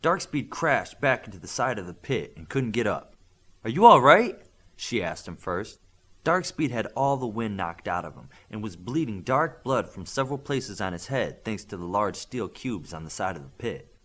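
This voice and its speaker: Animated man narrating an audiobook. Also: fairly low pitch, normal speed. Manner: animated reading that acts out dialogue. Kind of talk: scripted narration of a fiction story.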